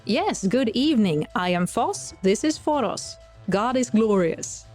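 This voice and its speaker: A woman's voice: fairly high pitch, expressive, measured pace, a little mellow, soft background music, pitched above the performer's own voice. A woman voicing a confident male magician who bluffs his way past guards, speaking stiffly and formally in an audio drama. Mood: nervously confident.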